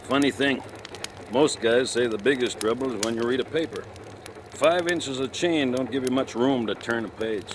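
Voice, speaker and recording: A man playing a folksy detective in an old radio drama. He has a mid-range voice and talks in an easygoing, colloquial way with a needling edge. Train-like noise rumbles clearly behind him. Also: normal speed, natural voice. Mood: affable. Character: folksy, too-friendly male police detective guarding a prisoner. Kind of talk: 1940s radio drama acting.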